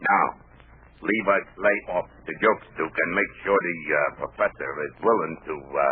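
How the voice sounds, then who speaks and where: A man's voice: deep voice, normal speed, close to an everyday voice. An actor playing a businesslike gangster in a 1940s radio comedy, talking in slangy tough-guy fashion. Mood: gruff, businesslike. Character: low-voiced, businesslike male gangster called the Earl. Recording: old 1940s radio recording, phone-like.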